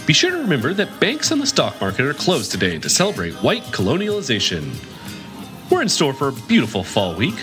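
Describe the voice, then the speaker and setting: A man's voice: medium pitch, wildly swooping pitch, a little fast, over music. A man playing a crude, cocky weatherman character in a mock-formal announcer delivery. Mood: deadpan. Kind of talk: comic weather report bit.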